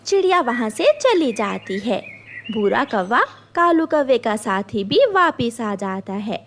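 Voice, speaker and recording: A woman's voice: a little high, big pitch swings, measured pace, slight background noise. A woman storyteller reading scripted narration for a children's cartoon story.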